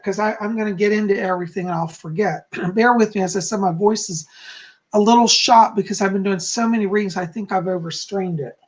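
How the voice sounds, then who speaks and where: A man with a hoarse, slightly raspy voice, chatting casually from home as a psychic hosting his live stream and greeting viewers effusively. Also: sits high, poor audio. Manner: chatty, effusive, frequent "okay?" and "you know".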